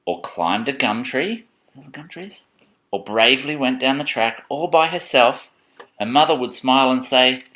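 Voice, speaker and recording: A man reading a children's picture book aloud in an expressive, playful way, with chatty asides to a child. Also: mid-range voice. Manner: expressive, playful, dramatic storybook emphasis. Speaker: parent reading a bedtime story to a child.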